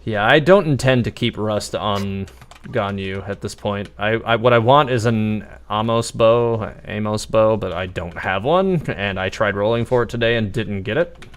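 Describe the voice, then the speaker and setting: A man with a fairly low voice streams a video game live. He talks casually and rambles along, thinking out loud as he plays. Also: moderate pace, smooth. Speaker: game livestreamer. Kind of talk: casual live gameplay commentary.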